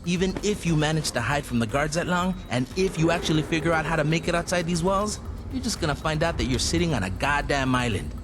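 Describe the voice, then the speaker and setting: A man's voice: on the high side, fast, natural voice. A man playing a young, streetwise, helpful gang sidekick in a video game cutscene. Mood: cautioning, confident. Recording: clear outdoor boat and vehicle noise.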